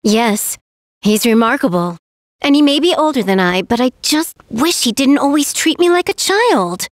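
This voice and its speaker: A woman's voice: sits high, very animated, normal speed, no room sound, studio quality. A woman voicing a polite, earnest young princess warrior in an anime game. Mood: warm, earnest.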